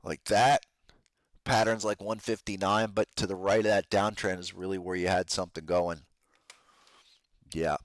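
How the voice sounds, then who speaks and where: A man with a low voice that is wearing out from talking, recorded close and dry. He hosts a trading podcast, giving casual, slangy commentary on charts to his listeners. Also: level tone, normal speed, long pauses, no echo. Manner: informal trader slang, addresses listeners as guys. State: losing his voice, strained from talking.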